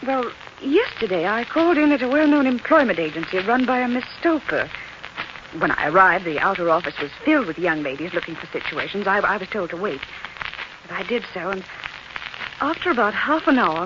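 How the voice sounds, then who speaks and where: An actress playing a polite, anxious young governess, earnest and hesitant, in 1940s radio style. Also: female voice, medium pitch, animated, a little fast, lightly acted. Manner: earnest, hesitant, well-spoken. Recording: muffled, noisy old-time radio recording.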